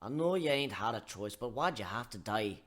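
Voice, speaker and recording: A man's voice: medium pitch, lively intonation, moderate pace, fairly bright tone. A man voicing a big-hearted giant minotaur with a blunt, folksy, bossy way of talking. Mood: wistful, grieving. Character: gruff, big-hearted giant male minotaur airship crewman.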